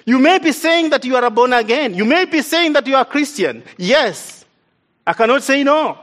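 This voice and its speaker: A man's voice: very high-pitched, animated, moderate pace, a bit bright. A man from East Africa, whose first language is not English, preaching a sermon in an earnest, emphatic way and repeating key lines for stress.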